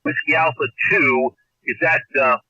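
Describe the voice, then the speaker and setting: A man's voice: medium pitch, fairly even intonation, a little slow, sharp tone, no room sound, lo-fi sound. A man working a radio contest over shortwave, confirming call signs in phonetics. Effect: ham radio transmission. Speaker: amateur radio contest operator. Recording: dry band-limited shortwave radio signal.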